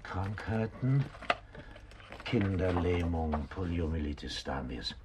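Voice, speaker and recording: A man's voice: deep voice, animated, measured pace, heavy background noise. An actor playing an urgent but courteous male police captain in a 1970s German radio crime drama. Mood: reading, focused. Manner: polite but insistent questioning.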